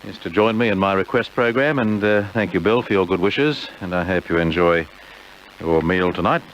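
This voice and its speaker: Deep male radio host, chatty and halting, casual dedications, muffled tape, clear background hiss and noise. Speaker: radio presenter. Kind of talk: casual radio presenting, personal farewells and dedications.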